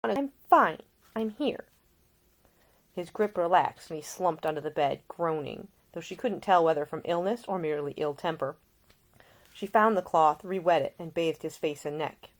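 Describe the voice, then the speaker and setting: Lower-pitched woman narrating fiction. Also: moderate pace. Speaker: author reading own novel. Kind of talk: scripted audiobook narration.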